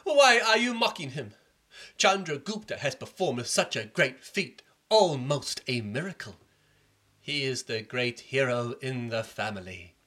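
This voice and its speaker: A man voicing a group of boys who jeer and mock in high, loud voices. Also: animated, a little slow. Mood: scolding. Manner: mocking, jeering.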